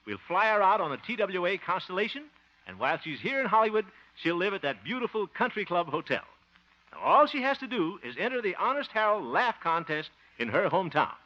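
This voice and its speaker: A man's voice: high-pitched, big pitch swings, bright, forceful tone, exaggerated voice. A man playing a bumbling, good-hearted fellow in a 1950 radio sitcom, sentimental and prone to chuckling. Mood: jovial. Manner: sentimental, chuckling, drawn-out delivery. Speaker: lead comic actor and show host. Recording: muffled noisy 1950 radio broadcast.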